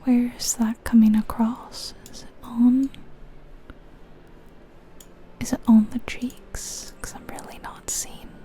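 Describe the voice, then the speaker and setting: A woman's voice: fairly high pitch, level tone, unhurried, mellow. A woman whispering in an ASMR style, musing to herself as she gives commentary on a video game. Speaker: ASMR creator.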